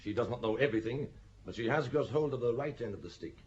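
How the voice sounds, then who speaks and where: An actor playing a blunt, cynical army captain in a radio play, wry in manner. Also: male voice, medium pitch, lively intonation, quick pace, a little hiss or hum, natural voice. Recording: dull lo-fi recording.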